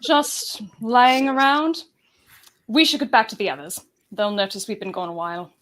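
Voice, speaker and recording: Woman playing a deadpan, teasing space villainess. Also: on the high side, moderate pace. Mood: playful, casual. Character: cool, teasing, deadly female space-opera villainess. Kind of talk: live comedic script table read.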